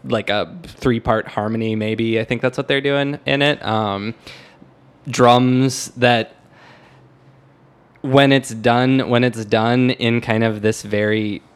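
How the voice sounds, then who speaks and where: A man's voice: mid-range voice, steady tone, slow, clear, resonant tone. Reflective man chatting casually about music. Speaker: music podcast co-host.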